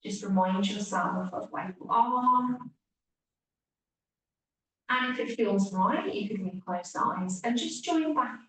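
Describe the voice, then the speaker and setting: A woman teacher leading a guided relaxation meditation, speaking gently with long pauses and hushed phrase endings. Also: fairly high pitch, lively intonation. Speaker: yoga nidra teacher. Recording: echoey room, distant mic, faint hiss.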